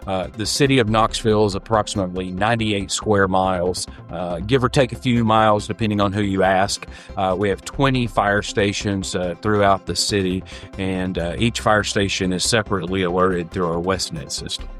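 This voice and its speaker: A man's voice: low voice, steady tone, moderate pace, fairly bright tone. A man speaking as a fire department official in an interview testimonial, explaining things in a plainspoken, matter-of-fact way. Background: background music.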